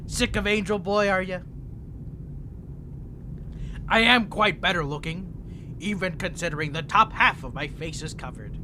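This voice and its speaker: Crazy ancient vampire prisoner, flirty n taunting, swoopy sharp voice, audio roleplay. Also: male voice, a little high, slight background noise. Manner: taunting, flirtatious, theatrical, with mock-sweet endearments. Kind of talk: scripted character roleplay audio.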